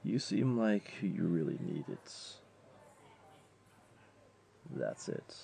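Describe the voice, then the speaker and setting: A man's voice: low voice, very animated, unhurried, vocal fry, dark tone, slight background noise, exaggerated voice. A man playing a wealthy, flirtatious jaguar beastling in a comforting audio roleplay, tender and teasing, with a coaxing, purring delivery. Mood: smooth, inviting.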